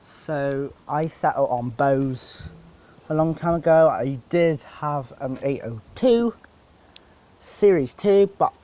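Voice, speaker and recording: Slow-talking man in a casual gear walkthrough. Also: on the high side, animated, mellow. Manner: rambling, halting explanations. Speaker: DJ presenting his PA setup.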